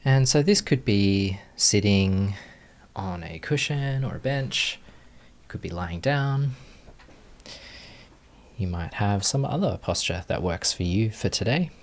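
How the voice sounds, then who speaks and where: A man leading a guided meditation as a teacher, giving gentle instructions with long pauses. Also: fairly low pitch, expressive.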